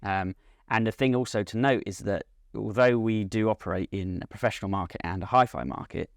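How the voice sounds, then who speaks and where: A man speaking as a company representative in an interview, giving measured, unscripted technical explanations of a product. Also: on the low side, fairly even intonation, gravelly, dry recording, studio quality. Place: at a hi-fi trade show.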